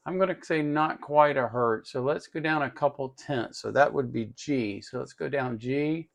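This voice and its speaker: Gravelly-voiced man giving a casual tutorial. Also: mid-range voice, normal speed. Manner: informal, thinking aloud while working. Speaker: host of an electronics tutorial channel. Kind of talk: casual hands-on tutorial demonstration.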